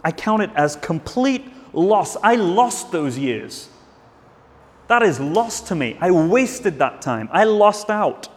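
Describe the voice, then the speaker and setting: A man's voice: sits high, big pitch swings, slow pace, big-room sound. A man preaching a sermon in a church, earnest, with frequent restarts and fillers.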